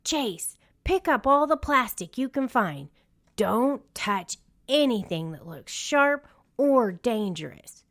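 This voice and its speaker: A woman's voice: on the high side, sing-song, slow pace, fairly bright tone, exaggerated voice. A woman voicing a helpful, eco-minded young male rescue pup from a storybook, earnest and instructive.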